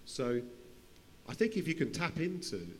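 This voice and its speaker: A man's voice: on the high side, very animated, measured pace. A man speaking off the cuff on a conference panel, often repeating and restarting his phrases. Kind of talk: unscripted panel discussion. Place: conference session in a large room. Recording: hall reverb with faint hum and noise.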